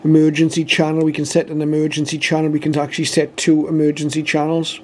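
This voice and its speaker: A man's voice: a little high, monotone, quick pace, darkish tone, a little hiss or hum. A British man giving an informal, matter-of-fact product demonstration, explaining methodically step by step. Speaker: radio reviewer and demonstrator.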